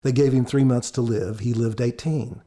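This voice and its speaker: Husky, dark-voiced man, earnest commentary. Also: mid-range voice, fairly even intonation, moderate pace. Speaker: author and podcast guest commentator. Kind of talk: reflective commentary on his own story.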